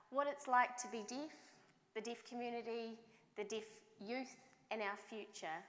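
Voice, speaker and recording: A woman voicing a deaf speaker's signed talk as a live interpreter, measured and often restarting or correcting herself mid-phrase. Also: fairly high pitch, a bit bright. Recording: long hall reverb.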